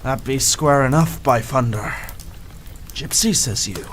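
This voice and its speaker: A man acting a boastful, rough old pirate captain in a radio play, with theatrical sea-dog talk and exclamations. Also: medium pitch, measured pace, a little hiss or hum, lightly acted. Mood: swaggering, wry.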